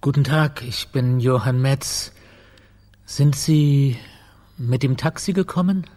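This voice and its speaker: An actor playing an older, courteous apartment owner and father in a radio drama, speaking haltingly. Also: mid-range voice, a little mellow, lightly acted. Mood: polite.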